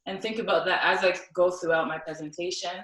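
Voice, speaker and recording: A woman's voice: fairly low pitch, fairly even intonation, fast, crowd noise. Earnest young woman from South Sudan. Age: young adult. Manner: earnest, with frequent ums. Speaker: symposium speaker. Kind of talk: personal talk with recited poem. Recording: echoey room, distant mic.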